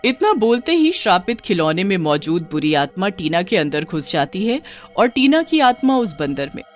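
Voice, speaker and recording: जीवंत महिला कथावाचिका. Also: female voice, on the low side, sing-song, normal speed, soft background music. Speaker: narrator. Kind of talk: scripted narration of children's horror story.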